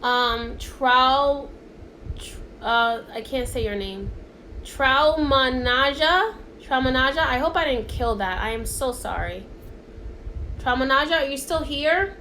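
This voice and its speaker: A woman's voice: sits high, bright, forceful tone. A woman whose first language is Spanish, giving a live-streamed spiritual card reading in a chatty, encouraging way that keeps checking in with her viewers. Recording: echoey room, faint background hiss.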